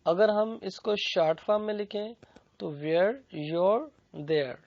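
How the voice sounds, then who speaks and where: Gravelly, unhurried grammar teacher with Pakistani accent. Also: mid-range voice, no room sound. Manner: methodical, repeats and translates each phrase. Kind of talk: explanatory grammar lesson, bilingual with translated examples. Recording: dull, lo-fi recording.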